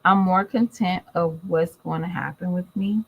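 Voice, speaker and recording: A woman's voice: fairly low pitch, moderate pace, vocal fry, husky, highs cut off. A tired, congested woman chatting casually to her viewers in a vlog. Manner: chatty, addresses viewers directly. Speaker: vlogger. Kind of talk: casual chat to camera.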